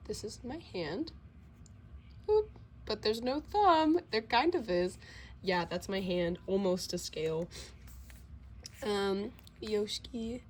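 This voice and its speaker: A woman's voice: mid-range voice, slight background noise. A teenage girl with a slight cold, sniffling a little, casually rambling through a sketchbook tour with lots of fillers and self-deprecating asides at home. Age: teens. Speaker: vlogger.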